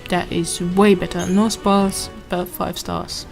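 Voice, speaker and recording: A woman's voice: on the low side, level tone, slow pace, vocal fry, darkish tone. A woman with a German accent, chatting and reading game text aloud as she plays. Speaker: gameplay commentator. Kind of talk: let's play commentary. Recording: game music behind.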